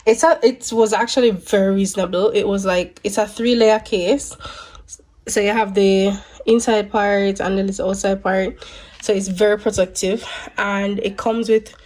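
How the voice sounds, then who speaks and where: A woman's voice: medium pitch, steady tone, moderate pace, a little mellow. A young woman vlogger, congested from a cold, chatting casually to camera with many restarts. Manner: chatty, stops and restarts, rambling. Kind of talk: casual vlog chat to camera.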